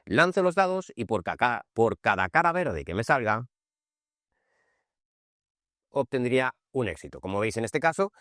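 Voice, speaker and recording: A man's voice: medium pitch, sing-song, measured pace, pauses often, fairly bright tone, no echo, studio quality. A man with a Spanish accent from Spain gives an informal board game tutorial, conversationally walking through rules and often checking that listeners follow. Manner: conversational, checking understanding with frequent short tags. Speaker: board game channel host.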